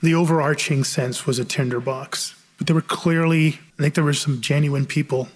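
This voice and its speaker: A man testifying as a witness in a measured, self-correcting way. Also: on the high side, steady tone, husky. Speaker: witness testifying at a public inquiry. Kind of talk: spoken testimony.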